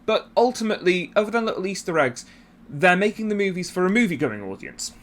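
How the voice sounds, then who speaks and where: A man's voice: sits high, very animated, quick pace. A man hosting a YouTube channel, giving casual commentary in a conversational way with self-deprecating asides. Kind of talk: casual video essay commentary.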